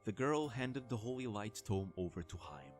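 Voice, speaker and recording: A man's voice: on the low side, very animated, moderate pace, darkish tone. Man narrating fantasy tale over music. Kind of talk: scripted fantasy story narration.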